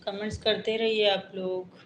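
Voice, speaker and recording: A woman from Uttar Pradesh hosts a live stream. She chats to commenters at an unhurried pace, and her voice stays flat and even. Faint room noise hums behind her. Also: medium pitch. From Uttar Pradesh, India. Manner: calm, greets commenters by name and asks for likes. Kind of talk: casual live-stream chat greeting viewers.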